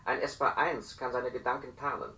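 Bright, tense male conspirator played by a man. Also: medium pitch, steady tone, brisk, natural voice. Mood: grave, secretive. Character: cautious telepathic informant, a grave conspirator. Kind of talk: German radio play acting. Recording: roomy, echoing radio studio.

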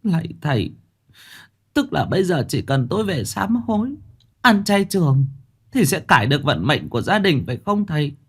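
A male storyteller voicing a desperate, weeping old grandmother who pleads in a high, wailing voice. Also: big pitch swings, normal speed, a bit bright, husky, exaggerated voice. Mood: pleading.

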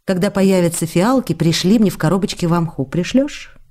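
A woman's voice: on the low side, a little fast, mellow, close to an everyday voice. A woman playing an affectionate young actress, confiding in her absent lover through letters read aloud in a radio play. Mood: fond, weary. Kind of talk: radio play reading of love letters, intimate. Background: faint music.

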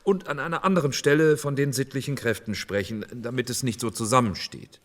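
An actor playing a formal, earnest, conciliatory committee politician of 1948 in a radio docudrama. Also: male voice, medium pitch, fairly quick, close to an everyday voice. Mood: firm, conciliatory. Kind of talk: German radio docudrama acting.

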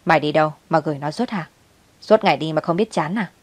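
An embittered old grandmother, acted out by a woman storyteller, scolds and wails in outrage. Her lines come out as loud, harsh complaints in a dramatic audio story. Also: deep voice, fast, dry recording. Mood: scolding. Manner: scolding, shouting, wailing. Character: angry old grandmother, bitter, wailing over stolen money.

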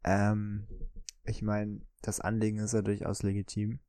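Slow, deep-voiced man, hesitant. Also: completely flat delivery, warm tone, clear, resonant tone, dry recording, clean studio sound. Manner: hesitant, many drawn-out fillers. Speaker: podcast co-host. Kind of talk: casual guessing game chat.

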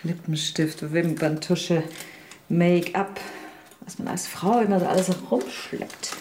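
A woman's voice: fairly low pitch, takes it slowly, a little mellow, a little hiss or hum. An actress playing an inquisitive, resourceful woman in a radio drama, in a natural conversational manner. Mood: feigned casualness. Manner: questioning, conversational. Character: inquisitive, resourceful woman, friendly companion to an old lady.

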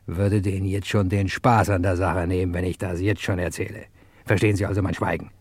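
Hard-boiled radio detective hero, low voice, cocky, clipped slangy delivery, first-person narration. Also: male voice, level tone, a little fast, a little mellow, slightly rough. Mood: cocky, sardonic. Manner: clipped, slangy, addresses the listener directly.

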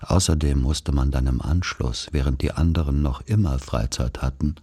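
A man playing a wry narrator who recalls his boarding-school boyhood, in measured literary radio play narration. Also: very deep voice, dark tone, breathy edge. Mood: wry, deliberate.

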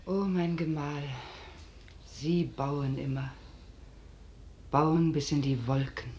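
Anxious noblewoman, tender mother: very slow, sorrowful, pleading, vintage radio drama. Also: deep voice, steady tone, a little mellow, muffled recording, a little hiss or hum. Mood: worried, lamenting. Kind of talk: 1950s German radio play stage acting. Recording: 1950s radio drama recording.

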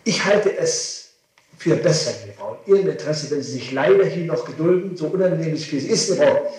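A man's voice: a little high, brisk, a little mellow, distant mic. A man speaking as a defence lawyer, explaining legal advice insistently and repetitively on an old 1960s tape. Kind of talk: legal advice in a recorded interrogation hearing. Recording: echoey, noisy 1960s archive tape.

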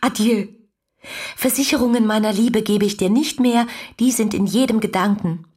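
A woman's voice: medium pitch, moderate pace, darkish tone, husky, lightly acted. An actress in a radio drama playing an impassioned, infatuated female letter writer of the early nineteenth century, speaking in a poetic, intimate way. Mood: tender, devoted.

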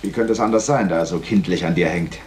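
Actor as deep-voiced, sly insinuating confidant. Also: male voice, fast talker, darkish tone, natural voice. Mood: needling. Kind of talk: 1950s radio drama acting. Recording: faintly noisy 1950s radio recording.

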